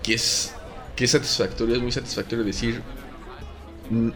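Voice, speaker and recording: A man's voice: medium pitch, little pitch movement, normal speed, over music, a crowd in the background. Slangy Mexican man in casual chat. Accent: Mexican Spanish. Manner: slangy, joking, rambling with restarts. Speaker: podcast co-host.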